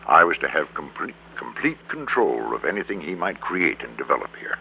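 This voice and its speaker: An actor playing a greedy, defeated middle-aged businessman in an old radio drama, confessing in a halting, resigned way. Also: a bass voice, sing-song, vocal fry, exaggerated voice. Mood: rueful confession. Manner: halting, resigned, repeats words for emphasis. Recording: crackly, narrow-band old radio recording.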